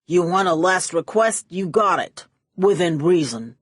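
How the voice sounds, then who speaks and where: A woman's voice: on the low side, expressive, unhurried, husky, no room sound, clean studio sound. A woman voicing a vain, cigar-chomping male gangster boss in an audiobook, with brash, clipped tough-guy slang. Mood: magnanimous.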